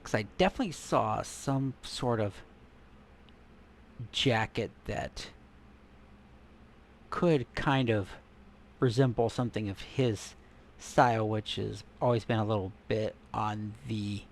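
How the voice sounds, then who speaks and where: A man's voice: fairly low pitch, takes it slowly, creaky, long pauses, a little hiss or hum. A man casually commenting while browsing articles and images online, musing and trailing off as he searches. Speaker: gaming content creator.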